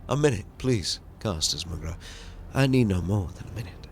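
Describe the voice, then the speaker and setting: A man's voice: fairly low pitch, animated, normal speed, gravelly, a little hiss or hum. A man voicing a stern, middle-aged interrogator who talks in a curt, disapproving way. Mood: urgent.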